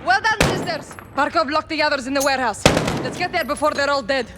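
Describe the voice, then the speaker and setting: A woman's voice: high voice, fast talker, very noisy, natural voice. An actress playing a fierce young woman rebel leader in a video game, speaking with urgent command. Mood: grim, resolute. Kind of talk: dramatic video game acting.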